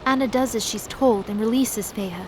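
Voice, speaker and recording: High-voiced woman narrating a drama. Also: normal speed, noisy background.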